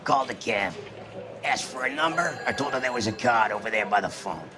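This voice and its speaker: An actor playing a weary middle-aged bookmaker who talks in a quiet, resigned way in a game cutscene. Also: male voice, medium pitch, fairly quick, close to an everyday voice.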